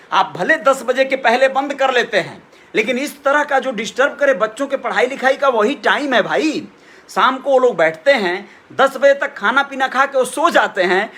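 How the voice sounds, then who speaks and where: A man's voice: very high-pitched, fairly bright tone. A middle-aged man gives an informal, earnest opinion monologue to viewers, repeating phrases for emphasis. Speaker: vlogger giving opinions.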